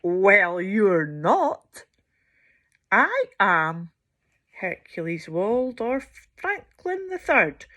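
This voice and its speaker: A woman's voice: medium pitch, sing-song, drawn-out words, pushed and bright, exaggerated voice. A woman voicing a pompous, self-important male hippo astronaut, declaiming emphatically in a children's storybook. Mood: haughty.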